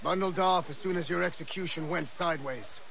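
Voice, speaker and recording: An actor playing a blustery, excitable officer of the American Revolution in a video game, with theatrical old-fashioned phrasing. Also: male voice, fairly high pitch, fairly quick, vocal fry. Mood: wry.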